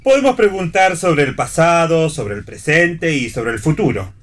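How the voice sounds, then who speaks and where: A man's voice: on the high side, lively intonation, moderate pace, fairly bright tone. A teasing, theatrical would-be seer in a radio play acted by a man. His melody swoops widely as he hands out wry, sententious pronouncements.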